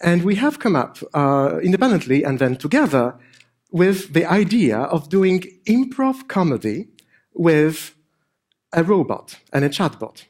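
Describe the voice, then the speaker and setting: A middle-aged man with a French accent and a hint of Polish, giving an informal stage talk in a hesitant, deadpan joking way. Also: on the high side, a little slow. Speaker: conference speaker, AI researcher and improviser. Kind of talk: informal stage presentation with dry humour. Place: on stage at a conference.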